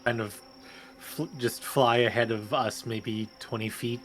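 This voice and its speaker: A man's voice: mid-range voice, steady tone, a little slow, a bit bright, faint music behind, rough recording. A man talking casually as a player in a tabletop roleplaying game.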